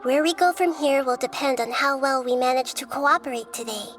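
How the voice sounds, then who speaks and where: Woman voicing a gentle, wise girl deity. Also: sits high, over music, close to an everyday voice. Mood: decisive. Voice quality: soft, gentle and measured. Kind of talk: video game voice acting.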